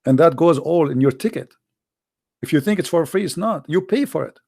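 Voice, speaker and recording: A man's voice: fairly high pitch, animated, a little fast, gravelly, a little mellow, husky, no echo, clean studio sound. A man with an Arabic accent, a native Arabic speaker, hosting an informal, impassioned monologue in a blunt, emphatic manner with repeated phrases and rhetorical questions. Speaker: host, religious polemicist.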